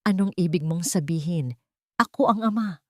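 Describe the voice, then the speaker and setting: A woman's voice: lowish voice, normal speed, no echo, studio quality, lightly acted. A woman voicing a commanding rich father, desperate and indignant, in an audio story. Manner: demanding, forceful.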